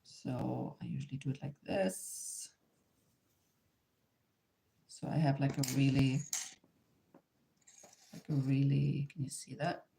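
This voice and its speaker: A woman from Germany with a German accent gives an informal hands-on craft demonstration in English as a second language, sometimes halting and repeating herself as she searches for words. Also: very deep voice, steady tone, gravelly, warm tone, slight background noise. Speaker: craft instructor, artist.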